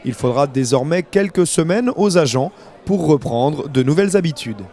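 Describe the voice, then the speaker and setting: A man's voice: on the high side, animated, moderate pace, dark tone. A man narrating a scripted local news report as a reporter.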